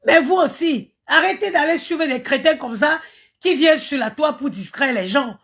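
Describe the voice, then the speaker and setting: A woman's voice: high voice, lively intonation, normal speed, a bit bright, dull audio. A woman from Cameroon, ranting indignantly on a live stream, stammering and chanting invocations. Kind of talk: impassioned live-stream rant.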